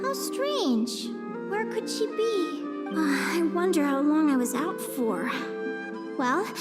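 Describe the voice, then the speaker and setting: A woman's voice: very high-pitched, exaggerated voice. A woman playing a sweet, earnest, easily flustered young girl adventurer in an anime-style video game. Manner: earnest, hesitant.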